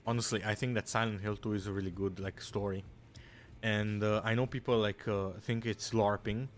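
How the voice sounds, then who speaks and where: A man's voice: on the low side, little pitch movement, normal speed. A young man from Romania, chatting casually as a gaming streamer, rambling, swearing and saying "bro" a lot.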